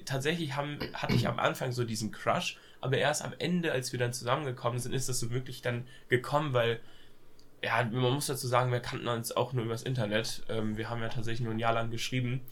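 Young dude w a cold, podcast chat, lots of ums and slang. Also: male voice, mid-range voice, fairly even intonation, quick pace, fairly bright tone. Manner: hesitant, many fillers, youth slang. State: has a cold. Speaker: podcast co-host. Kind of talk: casual chat.

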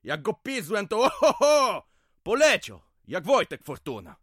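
Drunken middle-aged villager, vulgar, violent, rustic audio drama, forceful sharp voice, wildly swooping pitch. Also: male voice, wildly swooping pitch, measured pace, slightly rough, no echo, clean studio sound, heavily acted. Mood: menacing, bitter. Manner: vulgar rustic ranting, swearing and threatening.

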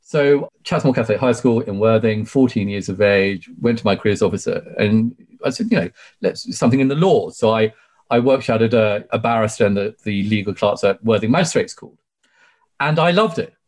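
A British man in his forties talks as an interview guest in a fairly low voice. He is talkative and emphatic, telling his own story conversationally and often dropping in "you know". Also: animated, brisk, a little mellow. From UK, born in Sri Lanka. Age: 40s. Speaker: podcast guest, barrister.

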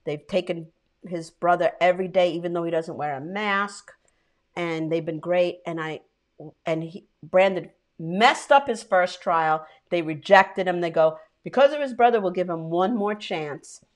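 A woman's voice: lowish voice, unhurried. A woman co-hosting a podcast, a little tipsy, chatting casually, laughing often and trailing off mid-sentence. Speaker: podcast co-host. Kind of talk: casual chat.

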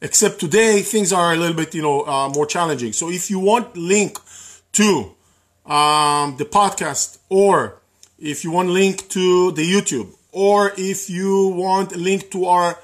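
A man's voice: sits high, measured pace. A man whose first language is Hebrew, giving a casual live-streamed talk on real estate investing, repeating words and using many fillers.

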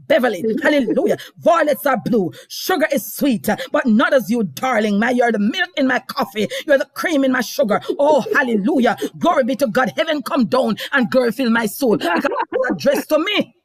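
A woman's voice: high voice, animated, normal speed, hardly any pauses, dry recording, studio quality. A woman with a Jamaican accent preaching a fervent Pentecostal sermon, emphatic and declamatory, with frequent exclamations of praise.

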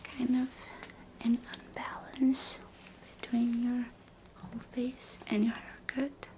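High, whispering woman doctor, ASMR. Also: little pitch movement, slow pace, rough recording. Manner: soft close whispering; gentle, reassuring bedside talk. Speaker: ASMR creator role-playing a doctor. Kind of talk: ASMR roleplay. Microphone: binaural mic.

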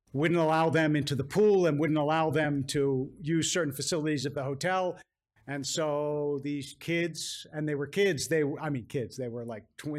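An American man, a rabbi answering audience questions in a measured, careful way, often qualifying and repeating phrases for emphasis. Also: on the high side. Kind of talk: thoughtful public question-and-answer talk.

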